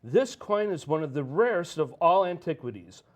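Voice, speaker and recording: A man acting a gentle, knowing, persuasive angel in a theatrical radio drama style. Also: fairly high pitch, lively intonation, normal speed, close to an everyday voice.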